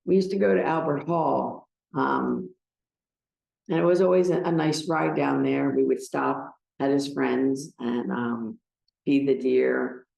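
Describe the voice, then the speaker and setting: An older American woman giving a personal talk to a gathering, speaking in a halting, emotional way. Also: extremely low pitch, creaky, mellow, lo-fi sound. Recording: roomy, echoing sound.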